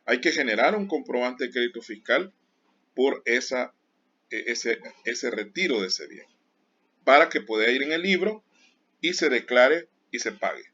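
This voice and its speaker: Guy teaching accounting, bright edgy voice, keeps warning ppl to be careful, muffled recording. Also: mid-range voice, animated, unhurried, husky. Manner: explains with everyday examples and repeated warnings. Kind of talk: classroom lecture. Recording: dull lo-fi sound.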